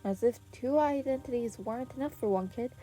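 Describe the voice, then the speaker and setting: A woman reading scripted fiction aloud as an expressive podfic narrator. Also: on the high side, fairly quick. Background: background music.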